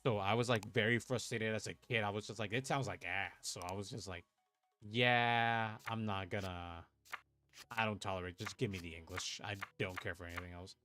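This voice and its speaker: A man's voice: lowish voice, steady tone, normal speed, sharp tone, soft background music. A young man from Puerto Rico, chatting casually on a livestream while he plays a card game, thinking aloud, swearing and muttering to himself. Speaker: streamer playing an online card game. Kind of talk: casual livestream chat and play commentary.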